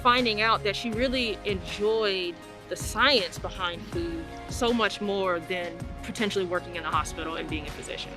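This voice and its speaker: A woman professor speaking in a measured, reflective way in a documentary interview. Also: medium pitch. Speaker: professor and mentor. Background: music.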